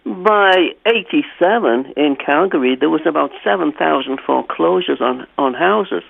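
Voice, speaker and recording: An older man phones in to a talk radio show. His gravelly voice comes through a thin, tinny phone line, and he talks haltingly, restarting his sentences as he goes. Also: on the high side, animated. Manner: halting, with many restarts and fillers. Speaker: caller.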